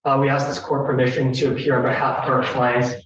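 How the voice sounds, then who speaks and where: A man's voice: mid-range voice, level tone, fast talker, a bit bright, lo-fi sound, slight background noise. Polite male law student, deferential, echoey distant courtroom with faint clicks.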